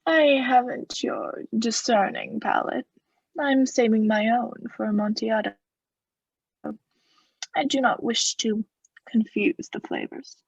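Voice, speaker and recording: A woman acting a coolly scheming aristocrat in a radio play, formal and measured, feigning friendly concern. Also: on the high side, vocal fry, breathy edge, full character voice. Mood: sly, courteous. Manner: formal, measured, slyly solicitous. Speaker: student actor in a radio play. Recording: echoey, slightly muffled recording.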